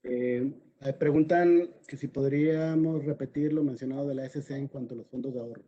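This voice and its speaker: Man, Mexican accent, mellow dark tone, panel discussion, haltingly repeats words, slightly muffled lo-fi audio. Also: medium pitch, steady tone. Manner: hesitant, repeats words, tags sentences with "¿no?". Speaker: panelist reading audience questions. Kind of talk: informal legal panel discussion.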